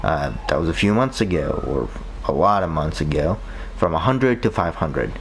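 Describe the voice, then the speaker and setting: A man streaming a game who talks in a monotone, chatting casually and rambling with many fillers and restarts. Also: a bass voice, normal speed, a little hiss or hum. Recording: loud game music behind the voice. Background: loud game music.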